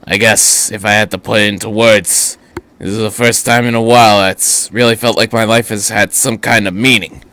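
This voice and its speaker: A man's voice: fairly low pitch, steady tone, bright, forceful tone. Man reading as weary older working man. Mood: weary, reflective. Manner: plain-spoken, reflective, halting. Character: gruff, weary older widowed Japanese factory worker.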